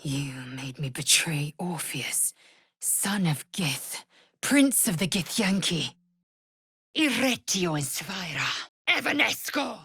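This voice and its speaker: A woman voicing a fierce, haughty young alien warrior in a video game, with a husky, breathy rasp and a clipped, imperious delivery. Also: lowish voice, big pitch swings, slow, a bit bright, no room sound, clean studio sound, heavily acted. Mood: anguished, indignant, then commanding, incanting. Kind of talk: video game character voice lines.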